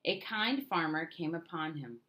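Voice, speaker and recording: A woman reading a children's storybook aloud in an expressive, emphatic way. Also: low-pitched, unhurried. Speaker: storybook reader.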